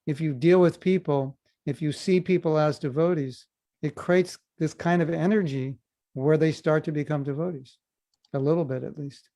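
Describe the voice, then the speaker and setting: A man, a spiritual teacher giving a relaxed, teasing lecture and Q&A over a video call. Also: a little high, moderate pace. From United States. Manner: humorous, teasing, easygoing.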